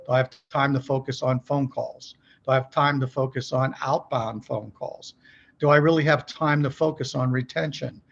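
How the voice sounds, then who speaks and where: A man's voice: mid-range voice, fairly even intonation, normal speed, gravelly, lo-fi sound, faint music behind. A middle-aged man speaking as a podcast guest, explaining business practice conversationally in a folksy way, often posing questions and checking in with the listener. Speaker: podcast guest, company executive.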